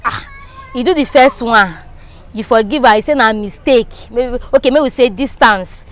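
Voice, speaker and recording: High-voiced Nigerian woman chatting. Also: moderate pace. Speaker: street interviewee. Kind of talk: animated casual chat in Nigerian Pidgin.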